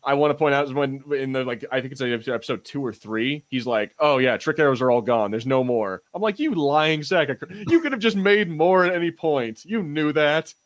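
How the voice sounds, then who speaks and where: Man, podcast host, casual review chat, informal, mildly sweary, medium pitch. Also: moderate pace, hardly any pauses.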